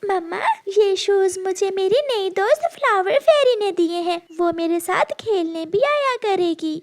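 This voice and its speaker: A woman's voice: very high voice, lively intonation, a little slow, heavily acted. A woman voicing a sweet, innocent little girl bird in a childlike, earnest way for a cartoon. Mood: cheerful. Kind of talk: Hindi moral-story cartoon dialogue.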